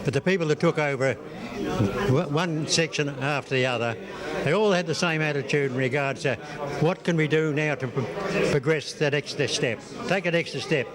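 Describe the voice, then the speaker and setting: An elderly Australian man reminiscing in an interview, speaking haltingly and repeating words as he goes. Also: fairly high pitch. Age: older, 70s or above. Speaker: former football coach being interviewed. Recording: outdoors amid loud crowd chatter.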